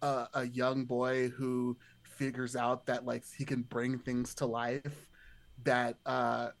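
A man's voice: medium pitch, monotone, slow pace, gravelly. A man talking casually about film as a podcast guest, in rambling, circling sentences peppered with "like".

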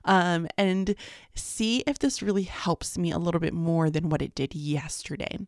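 A rambling, chatty woman, sleepy and sliding into a gravelly vocal fry. Her voice sits a little low and is recorded dry, close to a camera mic. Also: moderate pace, dry recording. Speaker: vlogger. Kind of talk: casual daily vlog chat.

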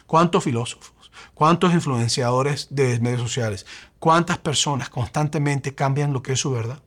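A man with a Venezuelan Spanish accent preaches from the pulpit. His voice sits a bit high for a man, and he builds emphasis through repeated rhetorical questions. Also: a bit bright. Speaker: pastor. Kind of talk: sermon.

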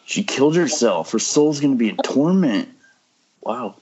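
A man plays a young artist in a horror script, casual and flippant with a sardonic streak. His pitch is average. The sound is a dull, lo-fi internet call from an amateur table read. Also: big pitch swings, normal speed, creaky, darkish tone, a little hiss or hum. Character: Mark, flippant young comic-artist guy in horror story.

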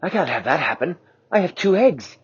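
A worried mother dinosaur, played by a man, pleads in a raised, husky voice. Her pitch swings widely between lines. The sound is dull and lo-fi. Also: sing-song, normal speed, exaggerated voice. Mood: anxious. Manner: rising anxious pleas. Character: worried mother T-Rex, anxious, big.

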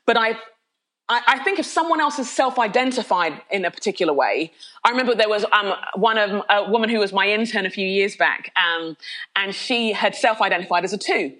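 A bright, ringing woman's voice from London, middle-aged and full of energy. She speaks as a candid interview guest, laughing often and restarting sentences as her thoughts race ahead. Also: medium pitch, fairly quick. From London, of Nigerian heritage. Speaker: podcast guest, pastor.

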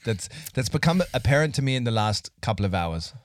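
A man chats on a podcast with a teasing, joking edge, laughing often. His voice sits at an ordinary pitch. The sound is close and dry, with no room around it. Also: animated, fairly quick, no room sound. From Australia. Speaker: podcast co-host. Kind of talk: casual chat.

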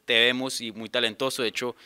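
A man hosting a casual interview, upbeat and full of quick asides. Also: mid-range voice, level tone, bright, forceful tone, clean tone.

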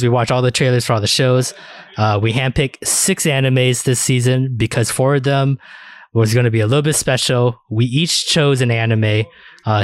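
A man's voice: medium pitch, no echo, studio quality. A man hosting a podcast in casual chat about anime and games, swearing freely as he talks. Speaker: podcast host.

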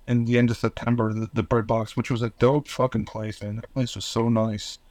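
A man from New Jersey speaks at an average pitch as a podcast guest. His casual talk is loose and rambling, packed with restarts and filler words, with the odd swear. The sound is dry and close, with no echo. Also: level tone, brisk, darkish tone. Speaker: podcast guest, band founder. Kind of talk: casual conversation.

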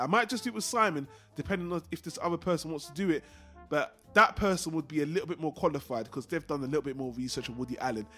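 A man's voice: a little high, fairly bright tone. A man hosting a casual film discussion podcast, talking in a rambling, digressive and opinionated way. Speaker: podcast host.

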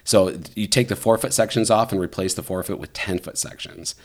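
A man's voice: on the low side, steady tone, a little fast. A man in his thirties hosting a podcast, telling a long story in a rambling, casual way with many fillers. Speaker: podcast host. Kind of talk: casual conversation.

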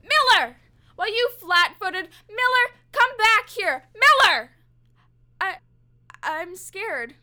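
A woman voices a small-time crook with a very high, bright, brash voice. The crook swings between street-tough defiance and frightened pleading, all in the style of old radio drama. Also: animated, normal speed. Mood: scared, defiant.

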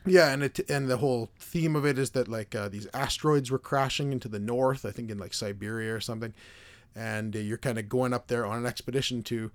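Man, scratchy hoarse voice, podcast host, mid-pitched, rambling casual chat, lots of fillers. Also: fairly quick. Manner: rambling, loose, with many fillers and self-restarts. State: hoarse from being sick.

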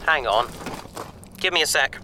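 An eager, bookish explorer in a sci-fi audio drama. His voice is very bright and fairly high, with a chatty, explanatory delivery. A faint background noise sits behind him. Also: animated, normal speed. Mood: puzzled, thinking. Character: male bookish, eager space adventurer and explorer.

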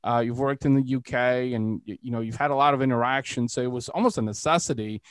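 A man's voice: mid-range voice, fast talker, smooth, no echo. Dry-sounding man hosting casually. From Eastern Europe. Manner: rambling, frequent "you know". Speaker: podcast host, entrepreneur. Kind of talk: casual conversational interviewing.

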